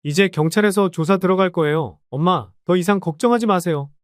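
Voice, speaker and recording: A man's voice: high-pitched, brisk, dry recording, clean studio sound, close to an everyday voice. A man playing a resolute, protective adult son in an audio story drama.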